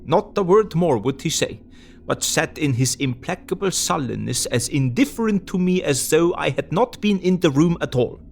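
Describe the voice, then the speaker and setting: An actor playing a learned, courtly older doctor in an audio drama, speaking earnestly in quaint, deliberate phrasing. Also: male voice, fairly high pitch, lively intonation, vocal fry, darkish tone, a little hiss or hum. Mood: grave, rueful. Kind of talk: dramatised diary narration.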